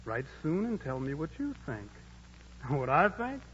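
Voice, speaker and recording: A man's voice: a little high, big pitch swings, a little slow, a bit bright, in a large hall, a little hiss or hum. A man playing Joe, a dutiful young army corporal in a 1940s radio musical drama, speaking plain folksy dialect over music on a dull old broadcast. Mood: pleased.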